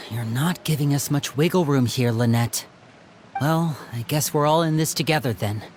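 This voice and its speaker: A man playing a young, protective, composed older brother in a video game, talking in a measured, theatrical way. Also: a little high, noisy background, close to an everyday voice. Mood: resigned. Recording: music playing behind the voice.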